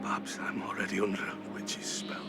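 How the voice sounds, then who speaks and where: A man's voice: a little fast, loud music behind the voice, lightly acted. A man playing a grieving, bitter 17th-century husband in a video game, anguished and archaic in phrasing, sometimes dropping toward a whisper. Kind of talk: dramatic video game acting.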